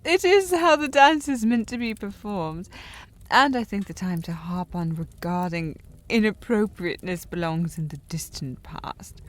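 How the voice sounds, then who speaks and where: A woman's voice: medium pitch, lightly acted. A woman playing a tipsy, haughty, teasing young noblewoman in an intimate period audio drama, with a slightly slurred delivery and an affected, sliding accent. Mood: hushed, pleading. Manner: slightly slurred drunk delivery, playfully imperious, formal wording.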